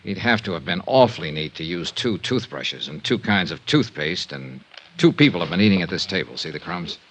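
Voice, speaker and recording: Deep-voiced, hard-boiled radio detective. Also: male voice, big pitch swings, brisk, a bit bright, full character voice. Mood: wry, alert. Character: tough, wry, wary male insurance investigator. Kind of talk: 1950s radio detective drama acting, first-person narration. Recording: noisy old radio recording with clicks.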